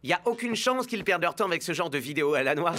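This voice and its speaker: A man's voice: fairly high pitch, lively intonation, rapid-fire, pushed and bright, a little hiss or hum. A man playing a sensible, easygoing young footballer in a cartoon dub, skeptical and matter-of-fact. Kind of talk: animated cartoon dub acting.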